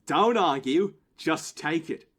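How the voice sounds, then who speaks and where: A man's voice: fairly high pitch, animated, slow pace, background noise. A man playing a tense, bossy airport security guard in an audio play, curt and commanding, with some swearing. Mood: urgent, insistent.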